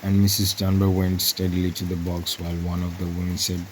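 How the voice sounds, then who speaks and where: A man with a low voice narrates a story as an audiobook reader. His delivery is scripted narration, and faint rain-like ambient noise sits in the recording. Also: completely flat delivery, brisk, poor audio.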